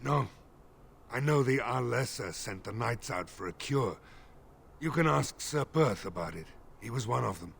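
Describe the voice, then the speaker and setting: An actor playing a worried, blunt village militia leader in a fantasy video game, serious and exasperated. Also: male voice, medium pitch, expressive, moderate pace, vocal fry, slightly rough. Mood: grim, weary. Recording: faint background ambience.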